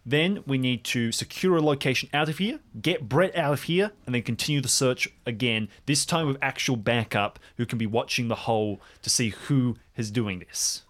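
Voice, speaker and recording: A man's voice: mid-range voice, moderate pace, few pauses, a bit bright, pitched above the performer's own voice, lightly acted. A man playing an earnest, urgent ranger trainee who speaks with commanding emphasis in a tabletop game.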